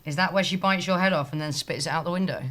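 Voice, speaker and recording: Woman playing a low-pitched, sardonic office slacker. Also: fast, a bit bright, natural voice. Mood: dry, sarcastic. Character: sardonic, surly female office slacker and internet troll. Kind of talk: comedy audio drama acting.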